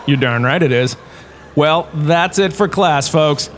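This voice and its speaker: A man performs an enthusiastic male college lecturer in a comedic audio drama. His voice sits a bit high, and he talks in a chatty, showy way, like a former star who enjoys the podium. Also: a little slow, slight background noise, natural voice. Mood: genial, showy. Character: washed-up holodrama star turned enthusiastic, quirky university lecturer.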